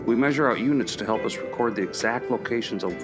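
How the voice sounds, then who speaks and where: A man's voice: mid-range voice, quick pace, music in the background, natural voice. A man playing an easygoing, considerate archaeology professor in a naturalistic radio play, conversational and reassuring. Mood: explanatory.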